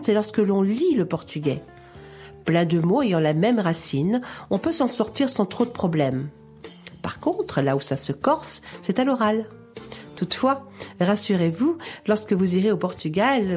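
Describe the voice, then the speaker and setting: A woman reading her scripted radio column in a measured, reassuring, explanatory way. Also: medium pitch, darkish tone.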